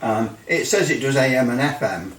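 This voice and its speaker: A man's voice: medium pitch, animated, moderate pace, fairly bright tone. A man giving a casual, rambling hands-on product review as a hobbyist, hesitating and repeating phrases for emphasis. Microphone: microphone some distance from the speaker. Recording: roomy echo, distant microphone.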